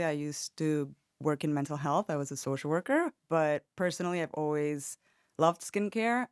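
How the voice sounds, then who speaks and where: A woman from Venezuela, a guest esthetician, telling her career story in a measured, plain way. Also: low-pitched, clear, resonant tone, no room sound, studio quality. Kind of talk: casual chat.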